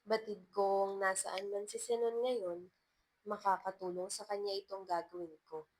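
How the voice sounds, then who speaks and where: A woman's voice: mid-range voice, little pitch movement, unhurried, darkish tone, slight background noise. A woman reading a first-person love story as a scripted narration.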